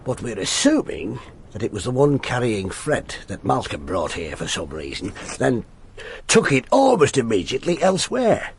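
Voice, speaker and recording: An actor playing a wry, brooding police inspector in a radio drama, musing and asking clipped, authoritative questions. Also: male voice, medium pitch, wildly swooping pitch, moderate pace, slight background noise. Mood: brisk, musing.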